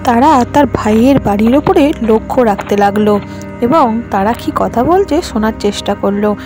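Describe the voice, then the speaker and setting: A woman's voice: fairly high pitch, normal speed, over music. A woman narrator reading a scripted storybook tale for an animation.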